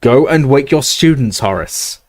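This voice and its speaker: A man's voice: medium pitch, animated, unhurried, a little mellow, no echo. A man voicing a stern, commanding older female professor with a clipped, imperious manner.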